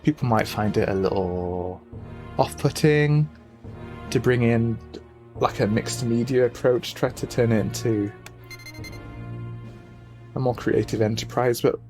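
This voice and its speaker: Man, middling pitch, casual let's play commentary, musing and rambling, game music behind. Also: measured pace, pauses often, a little mellow. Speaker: let's player, gaming video host. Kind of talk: casual game commentary, reading game text aloud. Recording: noisy home microphone, game music behind. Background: video game music.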